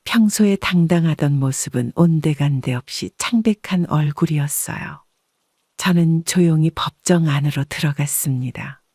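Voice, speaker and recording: A woman's voice: deep voice, darkish tone, dry recording. Composed woman narrator, very dry studio sound. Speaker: audiobook narrator. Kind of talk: scripted first-person story narration.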